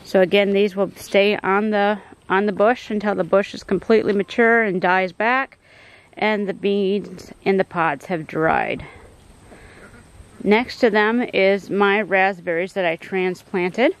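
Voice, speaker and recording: Relaxed woman touring her garden. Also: medium pitch, a little slow, smooth. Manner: relaxed, explanatory, frequent ums and uhs. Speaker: homestead gardener giving a garden tour. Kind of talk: casual garden tour narration. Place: outdoor backyard garden and greenhouse.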